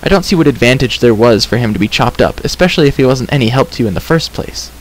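A man puts on a sharp-tongued young girl from a storybook. The voice is lifted high and scolds in a tart, pointed way. Clear hiss sits behind it. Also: lively intonation, quick pace, natural voice, low-quality recording. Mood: matter-of-fact.

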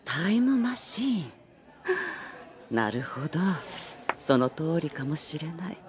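A woman's voice: sits low, very animated, full character voice. An elderly lady novelist in an anime audio drama, genteel and playful. Her intonation swings widely as she teases and draws out what she reveals. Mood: amused, teasing. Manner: genteel, teasing, deliberately revealing.

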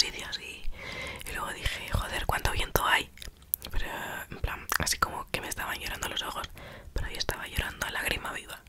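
A woman with an accent from Spain whispers a casual ASMR storytime in a breathy, unvoiced voice, retelling it colloquially and acting out the dialogue.

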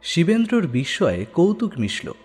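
A man's voice: fairly high pitch, huge pitch swings, normal speed, a little mellow, breathy edge. A man narrating a scripted audio story in a dramatic style. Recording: long studio reverb, background music.

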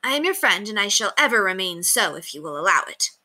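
A woman's voice: medium pitch, sing-song, fast, pushed and bright, heavily acted. A woman voicing an eager, imaginative, polite young boy in a storybook. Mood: courteous.

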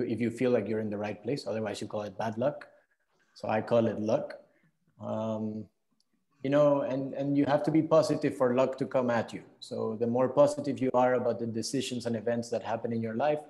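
Male podcast guest, thirties, from Venezuela; relaxed gravelly voice, thoughtful conversational answers. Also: medium pitch, brisk. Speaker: interview guest, entrepreneur. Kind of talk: reflective conversational interview answers. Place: indoor room, video call.

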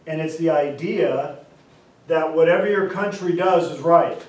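A man's voice: on the high side, darkish tone, far from the mic. Man lecturing in an echoey classroom. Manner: explains with repeated examples, stressing key terms slowly. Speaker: instructor. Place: classroom, indoors. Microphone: far camera.

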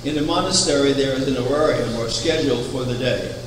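Older man preaching in an echoey chapel. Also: mid-range voice. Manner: measured, anecdotal, with rhetorical questions. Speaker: preacher, founder of a religious order. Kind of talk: sermon. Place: chapel, at a wooden pulpit. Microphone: far camera. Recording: echoey chapel from far off, air conditioning hum.

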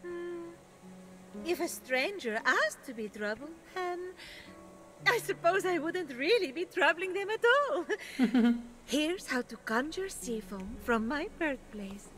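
Sweet tree-bound goddess for a fantasy game: gracious, theatrical, unhurried and very melodic, over music. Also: female voice, very high-pitched, expressive, fairly bright tone, full character voice. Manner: gracious, theatrical, saintly sincerity. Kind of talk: video game character acting. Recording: music behind the dialogue.

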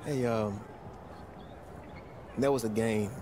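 An actor playing a cocky young basketball player talking casually with an old friend in a video game cutscene. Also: male voice, fairly low pitch, steady tone, takes it slowly. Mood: reflective. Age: young adult. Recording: clear ambient noise behind.

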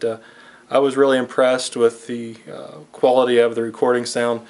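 A man giving a casual, unscripted product demonstration in a halting way, pausing often as he goes. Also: medium pitch. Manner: hesitant, halting delivery with frequent pauses. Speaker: online seller demonstrating equipment.

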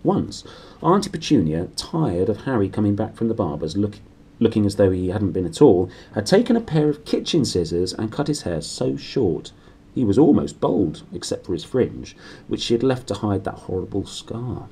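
Husky British man reading a story. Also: fairly low pitch, very animated, normal speed, warm tone. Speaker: reader. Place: small room indoors.

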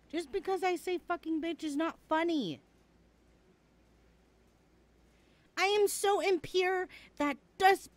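A woman playing a chatty, teasing young adult best friend in a casual, hesitant ASMR roleplay. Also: high-pitched. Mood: indignant. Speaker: voice actor in audio roleplay. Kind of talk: ASMR roleplay, chatty one-sided conversation.

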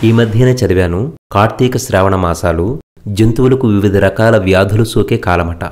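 A man giving calm, reflective voice-over narration for a market travel video. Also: lowish voice, fairly quick. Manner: calm, thoughtful, philosophizing.

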